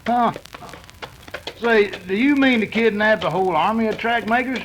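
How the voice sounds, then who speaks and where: Man as a high-voiced rustic outlaw. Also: moderate pace, fairly bright tone, a little hiss or hum, poor audio. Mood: intrigued. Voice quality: rustic cowboy speech. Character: gruff, skeptical male western outlaw. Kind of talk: old-time radio western acting.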